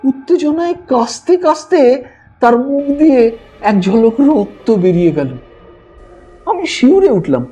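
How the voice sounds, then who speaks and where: A man with a high-pitched voice tells a ghost story in a slow, suspenseful audiobook style. His tone is mellow and expressive. The recording is slightly dull, with music playing behind him. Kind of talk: dramatic scripted audiobook narration. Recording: dull lo-fi voice track over music. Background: background music.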